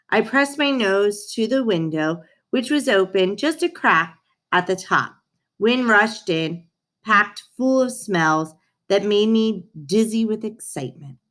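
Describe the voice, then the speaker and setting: A woman reading a children's storybook aloud in an expressive manner, addressing young listeners. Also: mid-range voice.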